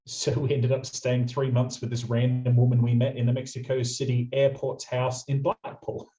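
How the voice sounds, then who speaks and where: A man's voice: mid-range voice, level tone, warm tone. A young Australian man, a radio presenter, telling travel stories in a chatty, anecdotal way. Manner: quick anecdotal storyteller, chatty. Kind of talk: casual storytelling interview. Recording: muffled lo-fi audio.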